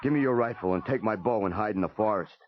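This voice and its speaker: A man's voice: on the low side, fast, slight background noise, close to an everyday voice. Terse, commanding action hero, male, acted by a man, for a kids' tape adventure; lo-fi cassette sound. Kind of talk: children's read-along cassette dramatisation. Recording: dull 1980s cassette tape.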